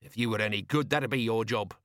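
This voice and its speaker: A man voicing a squeaky, cheeky, sulky little demon that lives in a pocket organizer, with a whiny, nagging manner. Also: a little fast, a bit bright, dry recording, clean studio sound, lightly acted.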